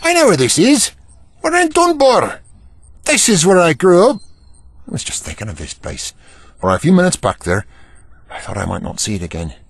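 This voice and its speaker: Stubborn, emotional statesman, male actor, rough-edged and hugely animated, audio drama. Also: high-pitched, moderate pace, long pauses, no room sound, heavily acted. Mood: surprised, wistful. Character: stubborn, emotional male Prime Minister from small-town Scotland.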